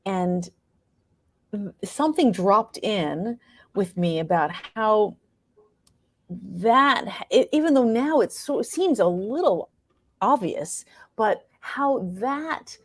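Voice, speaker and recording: An American woman speaking reflectively as an interview guest, thoughtful and halting as she searches for her words. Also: mid-range voice, animated, dry recording. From New York state, United States. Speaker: interview guest, playwright and performer.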